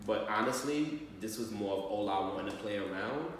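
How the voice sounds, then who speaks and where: Low-voiced man in a very echoey room. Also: moderate pace. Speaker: school staff member calling a parent. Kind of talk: training role-play of a parent phone call. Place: large echoey room, far from mic. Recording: very echoey hall, distant mic, faint noise.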